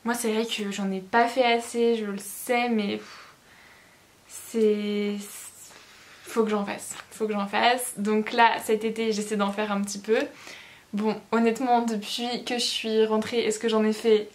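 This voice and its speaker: A woman's voice: medium pitch, flat delivery, unhurried. A young woman vlogging in casual chat, chatty and self-mocking, laughing at herself now and then. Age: young adult. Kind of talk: casual study-with-me vlog chat.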